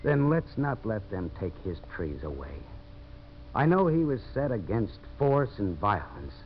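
Tough, earnest radio-drama hero, widely swinging pitch. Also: male voice, sing-song, unhurried. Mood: hushed, earnest. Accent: American. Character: tough, determined man turned earnest champion of settlers. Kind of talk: 1950s American radio drama acting. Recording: muffled, hissy old radio broadcast.

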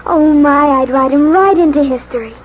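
Eager, dreamy young girl played by a woman, very high voice, breathless, vintage radio drama, muffled sound with music behind. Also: moderate pace, natural voice. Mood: eager, determined. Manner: breathless, exclaiming. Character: eager, horse-mad young English girl, dreamy and determined. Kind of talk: 1940s radio drama acting.

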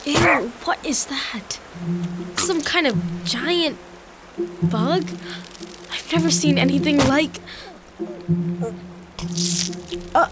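An actress playing a plucky young girl on a raft in an audio drama, earnest, chatty and expressive. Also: sits high. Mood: dismayed. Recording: loud music under the voice.

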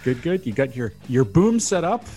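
A man's voice: medium pitch, sing-song, moderate pace, mellow, soft background music. A Canadian man hosting a radio show, chatting casually and laughing often. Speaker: podcast host.

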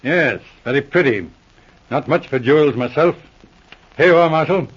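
An actor playing a brisk, no-nonsense male police inspector in a 1940s radio drama, who speaks in a crisp, commanding, matter-of-fact way. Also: a little high. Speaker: lead investigator. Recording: dull lo-fi 1940s radio recording.

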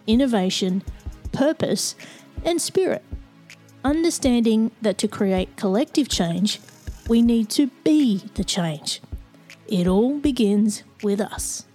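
Podcast host woman, soft music behind. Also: a little high. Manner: warm, measured intro; loose chat with fillers. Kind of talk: scripted intro, then interview chat.

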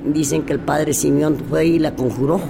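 A man's voice: on the high side, steady tone, moderate pace, vocal fry, background noise. A man with an Oaxacan Mexican accent, interviewed and recounting local lore in a hesitant way with many restarts. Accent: Oaxacan Mexican Spanish.

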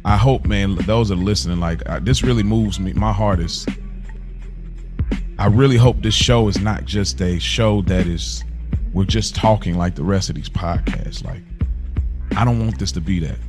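A man's voice: low voice, moderate pace, over music. A man co-hosting a podcast in earnest, casual chat, often repeating and rephrasing himself as he goes. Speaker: podcast co-host.

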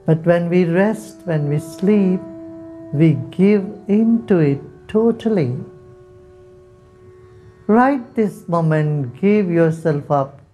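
A man leading a guided meditation, giving soft, calm instructions with long pauses. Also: high voice. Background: soft instrumental music.

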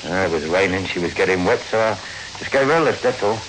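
An actor playing a wary, streetwise small-time crook at the wheel in a 1960s radio thriller. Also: male voice, low-pitched, lively intonation, quick pace, fairly bright tone. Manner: casual, wary, tough talk. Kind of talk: 1960s radio suspense acting. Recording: very noisy old radio recording.

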